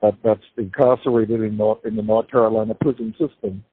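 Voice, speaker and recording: Older man, fairly low voice, halting and devout, tinny phone line. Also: steady tone, slightly rough, no echo. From Bahamas. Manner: halting, many fillers and repeats, devout. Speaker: interview guest, prisoner. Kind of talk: casual interview talk. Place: prison. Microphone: prison phone call.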